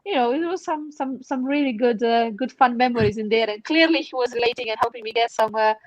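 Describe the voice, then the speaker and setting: A young woman, not a native English speaker, telling animated anecdotes casually on a panel podcast. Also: on the high side, a little fast, no room sound, dull audio. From outside the UK. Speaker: podcast panel member, charity fundraiser.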